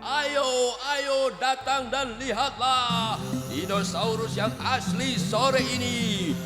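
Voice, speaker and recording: A man voicing a cartoon street barker hawking a show with drawn-out, repeated calls. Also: very high voice. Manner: drawn-out, repetitive calling. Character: loud street barker selling show tickets. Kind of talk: cartoon dub, hawking announcement. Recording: loud music behind the voice.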